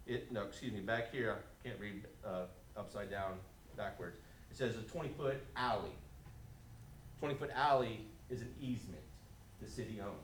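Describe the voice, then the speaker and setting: A man speaking as a resident at a public meeting, explaining informally and deliberately, step by step. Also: fairly low pitch. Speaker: resident giving public comment. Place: indoor council meeting room. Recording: echoey room, steady background noise.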